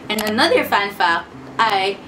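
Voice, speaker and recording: Young Filipino woman vlogger, talkative and playful, mid-pitched, recorded a bit off-mic with audible room noise. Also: lively intonation, a little slow. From Philippines. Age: 20s. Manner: chatty, switching between English and Tagalog, playful. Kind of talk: casual sit-down Q&A chat. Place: at home. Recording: distant mic with background noise.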